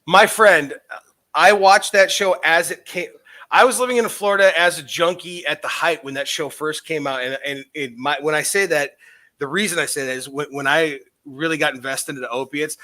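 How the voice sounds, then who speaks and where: A man's voice: fairly high pitch, normal speed, pushed and bright. A man co-hosting a podcast, intoxicated, rambling casually with lots of swearing. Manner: profane, meandering, enthusiastic. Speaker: podcast co-host.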